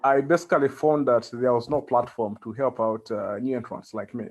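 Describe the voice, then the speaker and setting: A man with a Ugandan accent giving conversational interview answers as a guest, with halting phrasing and frequent pauses. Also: mid-range voice, fairly even intonation, a little mellow, husky. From central Uganda. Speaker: interview guest, community organizer.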